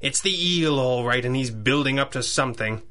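An actor playing a stern, determined masked crime fighter in a pulp radio drama, with clipped, formal, authoritative speech. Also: male voice, medium pitch, moderate pace, pushed and bright, natural voice. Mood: insistent. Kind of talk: 1930s-style pulp radio drama acting.

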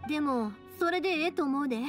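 A woman voicing a chatty, warm-hearted young fireworks maker with a Kansai accent in an anime-style game dub. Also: high-pitched, lively intonation, quick pace, music in the background. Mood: warm, reassuring.

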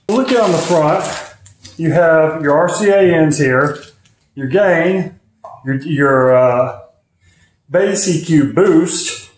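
Higher-voiced man in a roomy space. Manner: offhand, talking while working with his hands. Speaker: host of a DIY car audio video. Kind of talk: casual hands-on product review and tutorial. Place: home garage, at the car and workbench. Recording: roomy echo, faint noise and clicks.

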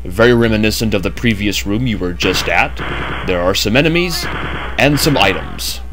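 A man's voice: lowish voice, lively intonation, moderate pace, noisy background. A man narrating a game walkthrough in a chatty way with jokey asides, just woken up. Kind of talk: casual gameplay commentary.

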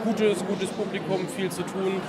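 Young man talking over loud crowd din. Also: sits high, little pitch movement, measured pace. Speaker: publisher, interview guest. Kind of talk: casual interview answers. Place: book fair booth in a large hall.

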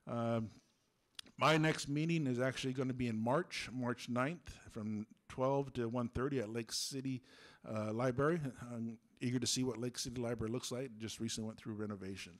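A middle-aged man, hoarse from a cold, giving halting remarks into a handheld mic at a school board meeting, clearing his throat now and then. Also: medium pitch, fairly even intonation. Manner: halting, many fillers. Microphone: handheld microphone held close.

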